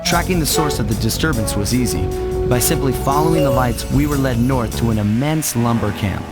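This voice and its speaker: Loud music plays under a suave young raccoon thief, acted by a man in a cartoon game. He sounds cool and sure of himself, at an ordinary male pitch. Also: brisk, close to an everyday voice. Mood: cool, wry. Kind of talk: cartoon video game narration and dialogue.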